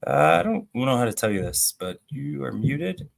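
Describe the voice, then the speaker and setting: A man's voice: medium pitch, sing-song, moderate pace, creaky. A man talking sports as a co-host on a video call, in a conversational way with rhetorical questions thrown in. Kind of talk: casual sports discussion over video call.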